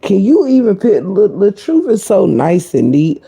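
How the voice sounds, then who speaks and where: A woman with a deep, croaky voice giving sassy, blunt live-stream gossip commentary, full of cursing and kept low so she stays quiet. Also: sing-song, a little slow. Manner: talking low to keep quiet.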